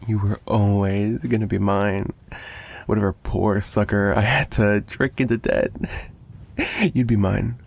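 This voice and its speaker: Man playing a slow, low-voiced possessive mafia boss. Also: fairly even intonation, vocal fry. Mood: possessive, menacing. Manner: taunting, sarcastic, drawling with pauses. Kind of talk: ASMR roleplay monologue to the listener.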